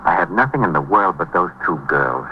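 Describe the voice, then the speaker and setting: Shaken american businessman suspect, super deep voice, hesitant n polite, muffled old radio sound. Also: animated. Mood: anguished, pleading. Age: middle-aged. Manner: hesitant, polite, halting answers. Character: shaken, evasive middle-aged married businessman under questioning. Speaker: murder suspect in a radio drama. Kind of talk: 1950s radio acting. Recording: muffled, faintly hissy 1950s radio recording.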